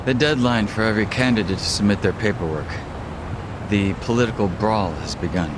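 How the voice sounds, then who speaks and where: An actor playing a cool, serious underworld ally in a game dub, terse and matter-of-fact. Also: male voice, fairly low pitch, a little fast, noisy background, close to an everyday voice. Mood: cool, informative. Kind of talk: English game dub cutscene acting.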